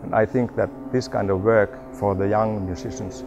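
Gravelly middle-aged man. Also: lowish voice, steady tone, muffled recording. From Finland. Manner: measured and earnest, with hesitations. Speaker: youth orchestra coach. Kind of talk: documentary interview. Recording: music bed underneath.

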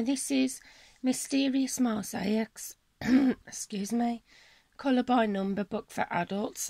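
A woman hosting a colouring channel, chatting casually at her table at home, her voice croaky from a cold and her talk apologetic and rambling. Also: a little high, darkish tone. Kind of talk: casual chat showing colouring books. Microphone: camera on a selfie stick above the table.

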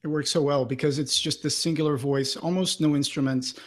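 A man's voice: a little high, fairly even intonation, a little fast. A man in his thirties co-hosting a podcast interview, chatting enthusiastically into a desk microphone at home. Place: room at home, indoors. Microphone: desk microphone with pop filter, close.

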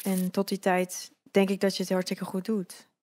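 A young Dutch-accented woman hosts an interview from a sofa in a bright living-room studio. She speaks supportively and keeps asking follow-up questions into a handheld microphone. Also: medium pitch, completely flat delivery, moderate pace. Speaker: interview host. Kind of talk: casual interview.

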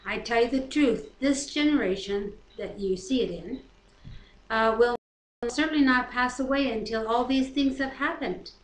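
A woman's voice: on the high side, normal speed. A middle-aged woman with a North American accent, reading scripture aloud and commenting casually in a living room. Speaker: co-host of a home Bible reading. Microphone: webcam at about arm's length. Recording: roomy echo, noisy home webcam audio.

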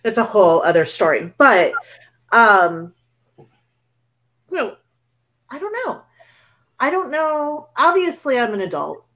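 A woman's voice: fairly high pitch, sing-song, measured pace, pauses often, fairly bright tone. A woman in her forties from a Cuban family, co-hosting a casual book club chat over a video call, chatty and self-deprecating. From Cuban-American family. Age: 40s. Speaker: book club podcast co-host.

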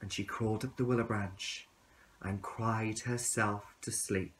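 A man in his thirties reading a storybook aloud to young children in an expressive, deliberate way. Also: on the low side, rough recording.

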